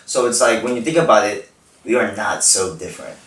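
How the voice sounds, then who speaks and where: A teenage male podcast host, a native Korean speaker, telling stories in a casual, animated way with lots of "like" fillers. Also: on the low side, fairly quick. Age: teens. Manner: animated storytelling, frequent "like" fillers. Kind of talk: casual chat. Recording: echoey room, distant mic.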